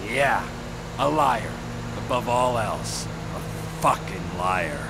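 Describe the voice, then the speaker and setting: A man's voice: medium pitch, expressive, slow, vocal fry. An actor playing a volatile, menacing middle-aged criminal trucker in a video game, sardonic and profane, drawing out his words. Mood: bitter. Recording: vehicle noise behind the voice.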